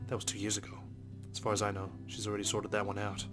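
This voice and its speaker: Male let's play reader for story-game narration: deepish voice, rapid delivery, music underneath. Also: monotone. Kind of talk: narration read aloud from a visual novel.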